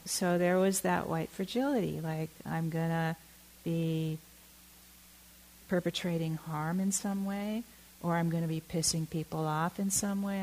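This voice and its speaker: A middle-aged woman from Oakland giving a reflective talk, halting and candid, with many fillers and self-corrections. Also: low voice, creaky, a little mellow, poor audio. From Oakland, California. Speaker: dharma talk speaker.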